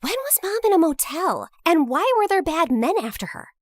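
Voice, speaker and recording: A young woman playing a blunt, demanding, hot-tempered teenage girl in an animated story. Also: very high-pitched, moderate pace, no echo, studio quality. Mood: baffled.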